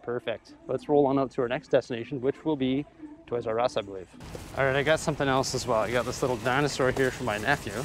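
A young man filming himself in a big store, chatting casually as he weighs up prices and thinks out loud. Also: mid-range voice, fast talker, over music. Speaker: vlogger. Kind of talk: casual shopping vlog. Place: large store aisle, indoors. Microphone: camera held at arm's length.

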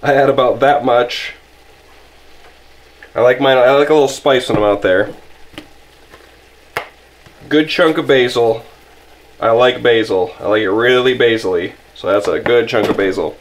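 A relaxed young man talks through a recipe while he cooks in a home kitchen, his voice at an ordinary middle pitch. He rambles easily, restarts his sentences, and keeps things casual and unhurried. Faint clicks and kitchen noise sit behind him. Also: low-quality recording. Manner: easygoing, apologetic, rambling with restarts. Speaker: outdoor vlogger cooking camp meals. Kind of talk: casual how-to cooking narration. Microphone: camera filming from above the stove.

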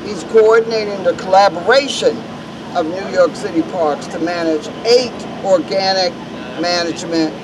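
A woman's voice: fairly low pitch, normal speed, crowd noise, poor audio. A middle-aged woman emceeing an outdoor public event at a podium microphone, mixing joking asides with formal introductions. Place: outdoor park lawn by a city building. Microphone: podium microphone on a stand. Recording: outdoor traffic noise. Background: city traffic and street ambience.

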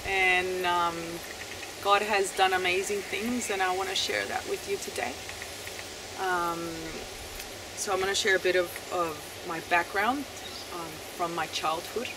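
Soft-spoken woman from Chile, halting. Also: lowish voice. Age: 30s. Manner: soft-spoken, halting, many fillers and restarts. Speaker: interviewee sharing her testimony. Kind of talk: personal testimony, unscripted. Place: outdoors in a garden by bamboo. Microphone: camera mic at a few meters, seated. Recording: outdoor noise behind the voice.

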